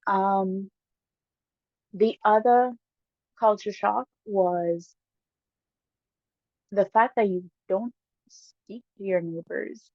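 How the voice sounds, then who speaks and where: A woman with a Guyanese accent tells stories in a casual, lively way, often laughing and speeding up when excited. Her mid-pitched voice sounds dry and dull, as on a lo-fi recording with no room echo. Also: very slow, pauses often. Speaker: guest. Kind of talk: casual storytelling chat.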